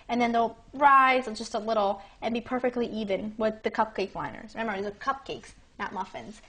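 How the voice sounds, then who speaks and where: A young woman hosting a cooking demonstration in her home kitchen, chatting brightly and speaking straight to her viewers. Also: a little high. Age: young adult. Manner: bubbly, chatty, addresses viewers directly. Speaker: cooking show host. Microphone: lapel mic.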